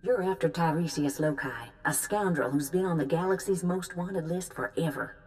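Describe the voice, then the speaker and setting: Woman as a low-pitched brisk mission handler. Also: a little mellow, natural voice. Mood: businesslike, knowing. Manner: businesslike, instructive. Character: brisk female mission handler giving briefings. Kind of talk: video game voice acting.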